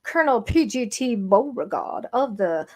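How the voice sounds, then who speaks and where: A woman with a Southern US accent, co-hosting a casual podcast chat and talking in a relaxed, rambling way. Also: fairly high pitch, moderate pace. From American South. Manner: relaxed and chatty, slips into a formal Southern drawl for fun. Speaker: podcast co-host. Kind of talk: casual live-stream chat.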